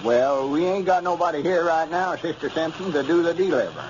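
An actor playing a scheming, self-important older storekeeper with a folksy, grumbling rural Arkansas drawl in an old radio comedy. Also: male voice, fairly high pitch, animated, a little fast, close to an everyday voice. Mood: businesslike. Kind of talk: 1930s-40s radio comedy acting. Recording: muffled, noisy old radio recording.

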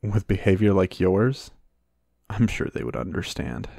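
A man playing a reserved, dry-witted American knight in a fantasy ASMR roleplay, speaking in measured, formal banter. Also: sits low, very animated, creaky, a little mellow, no room sound, full character voice. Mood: dry teasing.

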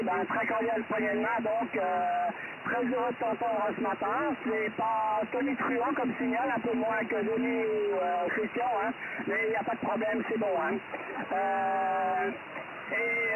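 A man talking as an amateur radio operator, hesitant and casual, over a radio link. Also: very high-pitched. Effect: single-sideband radio transmission. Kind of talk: casual ham radio contact with spelled callsigns. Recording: narrow, noisy shortwave-style satellite radio link.

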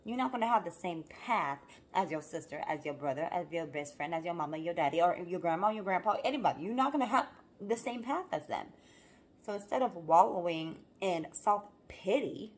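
A young woman vlogging casually to her phone camera at home, talking emphatically and a little hoarse from rehearsals. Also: fairly low pitch. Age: young adult. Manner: animated, emphatic motivational talk. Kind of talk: casual vlog talk to camera. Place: home living room, then car. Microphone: phone camera held close.

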